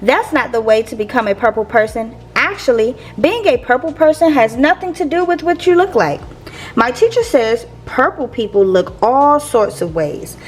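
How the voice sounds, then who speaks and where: A woman reading a picture book aloud to young listeners in a playful, expressive teacher's manner. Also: on the high side, moderate pace, soft background music. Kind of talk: expressive children's read-aloud.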